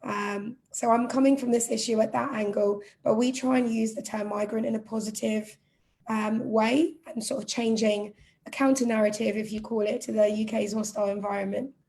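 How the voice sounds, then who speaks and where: A woman's voice: fairly high pitch, fairly even intonation, moderate pace, a little mellow. A young woman from Zimbabwe, a charity outreach officer, speaking earnestly and reflectively on a panel. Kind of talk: panel discussion.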